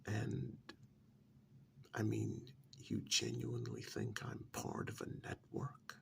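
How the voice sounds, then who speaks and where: Casting: male actor for smug, sardonic pampered captive, ASMR role-play. Low, very gravelly murmur, unhurried drawl. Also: big pitch swings, slight background noise, full character voice. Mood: coolly dismissive. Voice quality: soft, half-whispered, gravelly.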